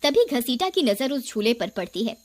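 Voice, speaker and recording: A woman's voice: fairly high pitch, very animated, moderate pace, very noisy. A woman narrator reading a scripted horror story.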